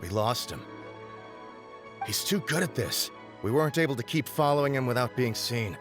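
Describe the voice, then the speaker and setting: A man's voice: medium pitch, lively intonation, moderate pace, music in the background, natural voice. A man playing a subordinate in a video game, giving an apologetic, careful report to his boss.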